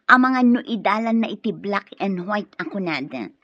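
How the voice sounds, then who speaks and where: A woman's voice: mid-range voice, expressive, moderate pace, no echo, natural voice. An actress playing a sly, calculating female accomplice in a radio drama. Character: scheming female accomplice plotting over money. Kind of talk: Ilocano radio drama acting. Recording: dull, lo-fi radio drama audio.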